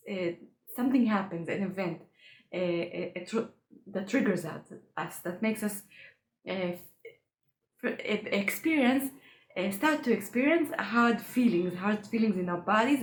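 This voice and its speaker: Halting woman with English. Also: mid-range voice. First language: Hebrew. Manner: halting, frequent restarts, earnest. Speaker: coach for highly sensitive people. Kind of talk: solo talk to camera. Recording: roomy echo.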